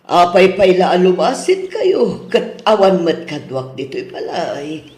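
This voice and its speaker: A man playing a fussy, nagging older mother-in-law in an Ilocano radio drama, with an Ilocano accent and drawn-out, chiding delivery. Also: high voice, very animated, a little mellow. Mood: pleading. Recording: roomy, echoing studio drama sound.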